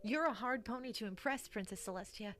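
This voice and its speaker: A woman's voice: a little high, fairly quick, a bit bright, soft background music, close to an everyday voice. A woman playing an ambitious, pushy young student pony with a self-assured, petulant manner in an audio drama. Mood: cocky, eager.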